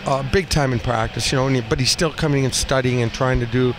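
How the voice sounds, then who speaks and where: A man in his fifties, a hockey coach speaking off the cuff in a postgame interview, blunt and halting, often repeating phrases. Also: mid-range voice. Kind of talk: postgame interview, unscripted talk. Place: small cinder-block room indoors at a rink. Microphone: headset boom mic. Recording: noisy arena room, steady background din.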